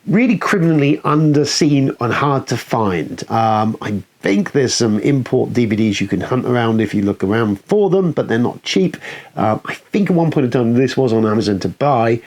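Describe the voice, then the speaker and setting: A man's voice: mid-range voice, expressive, moderate pace, darkish tone. A man hosting a film review, talking casually in an enthusiastic, rambling, sweary way. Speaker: host of a film review show.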